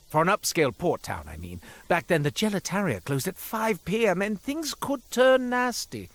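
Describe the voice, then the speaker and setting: An exasperated, wildly animated shopkeeper. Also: male voice, high voice, dry recording, heavily acted. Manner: exasperated, rambling, sarcastic, theatrical. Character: beleaguered, sardonic, cursed former thief turned quartermaster shopkeeper. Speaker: video game shopkeeper character voice. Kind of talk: theatrical scripted character dialogue.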